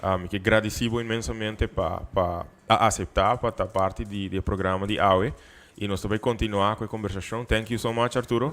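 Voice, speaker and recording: A man, a Papiamento speaker, hosting a conversational interview in a wordy, halting way. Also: fairly low pitch, steady tone, a bit bright. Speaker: talk show host.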